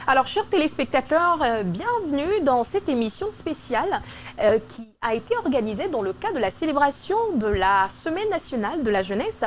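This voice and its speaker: Une femme à l'togolais anime une émission télévisée pour la jeunesse chrétienne en studio et relance ses invités avec entrain. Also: female voice, sits high, normal speed, a little hiss or hum. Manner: lively and engaging, prompts guests. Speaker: TV show host. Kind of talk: hosting a church youth TV program.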